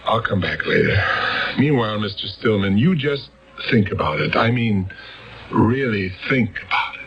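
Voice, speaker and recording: An actor playing a shrewd, pressing male police lieutenant in a radio drama, deliberate and coaxing in delivery. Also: lowish voice, expressive. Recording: dull radio recording with music.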